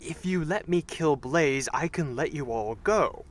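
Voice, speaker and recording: A man voicing a cocky, charming young dragon assassin in a teasing, flippant way for an animated fan dub. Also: on the high side, unhurried. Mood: flippant, coaxing.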